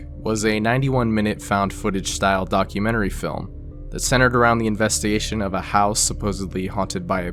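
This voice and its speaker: Man, low voice, conversational video essay narration, casual asides, faint music behind. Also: fairly bright tone. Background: background music.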